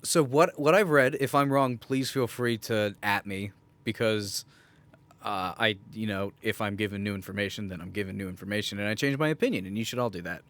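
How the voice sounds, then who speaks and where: A man's voice: on the low side, fairly quick, fairly bright tone. A man co-hosting a podcast in a casual, bantering, joking way. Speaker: podcast co-host. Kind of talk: casual chat.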